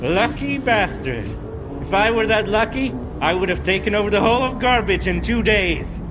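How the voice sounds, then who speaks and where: A man's voice: sits high, animated, moderate pace, background noise. A man playing a suspicious, foul-mouthed gang boss in a video game, sneering and aggressive as he threatens. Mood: threatening.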